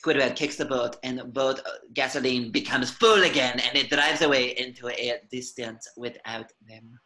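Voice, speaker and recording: A man's voice: mid-range voice, steady tone, normal speed, sharp tone, poor audio. A man reading out stage directions as the narrator of a cartoon script table reading.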